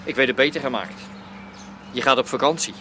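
A man's voice: mid-range voice, a little fast, background noise, close to an everyday voice. An actor playing a threatening Rotterdam gangster who mocks his debtor, with a Rotterdam accent. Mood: menacing. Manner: mocking, threatening. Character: menacing Rotterdam gangster Aad.

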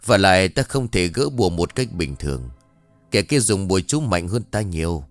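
A grave old blind fortune teller, as the storyteller puts him on, in a low voice close to the narrator's own. He speaks with solemn authority. It is a dry, close read with faint music beneath. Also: big pitch swings, normal speed, dry recording.

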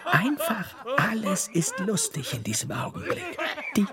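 A man's voice: high voice, wildly swooping pitch, measured pace, over a noisy crowd, heavily acted. A man playing a giddy comedian in a radio drama, delivering a poetic first-person monologue. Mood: giddy elation. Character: giddy comedian narrating his own helpless laughter. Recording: loud music under the voice.

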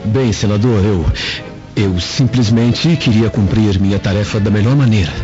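A man's voice: fairly low pitch, normal speed, mellow, natural voice. A man playing an earnest young slave in a radio drama, explaining himself carefully and deferentially. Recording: dull lo-fi audio with music.

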